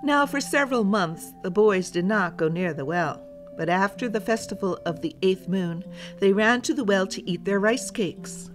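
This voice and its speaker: A woman's voice: mid-range voice, expressive, normal speed, over music. A woman storyteller narrating a children's folktale as a scripted read-aloud.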